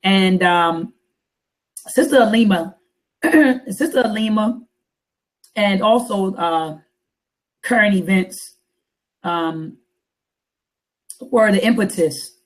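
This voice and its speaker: A woman hosting a casual talk show, her deep voice raspy and hoarse after coughing, repeating phrases for emphasis. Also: takes it slowly, pauses often, rough recording. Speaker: host.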